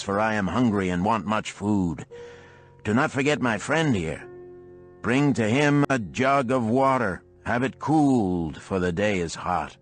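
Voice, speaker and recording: A man's voice: medium pitch, pitched above the performer's own voice, lightly acted. A man voicing a boisterous, blunt middle-aged camel trader in an audiobook parable, declaiming in archaic phrases. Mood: hearty, bossy.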